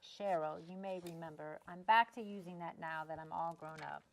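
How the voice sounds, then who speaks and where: A woman playing a wistful, frank former girlfriend of a rock star in a script read, earnest and gently needling. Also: on the low side, moderate pace, lightly acted. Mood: reproachful. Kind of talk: script table read acting.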